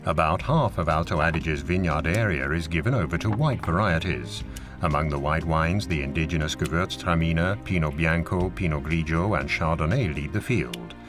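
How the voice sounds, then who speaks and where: A low-pitched man narrates an educational documentary with clear music playing underneath. His delivery is scripted, measured and formal, laying out information in an orderly way. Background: music behind the voice.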